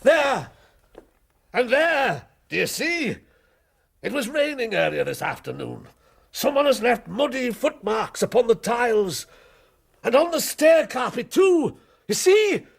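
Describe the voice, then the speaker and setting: An actor in a period radio drama playing a frantic, paranoid, quick-tempered Victorian lodger, agitated and emphatic. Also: male voice, extremely high pitch, big pitch swings, gravelly, husky, heavily acted. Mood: agitated, insistent. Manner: agitated, emphatic, pleading and demanding.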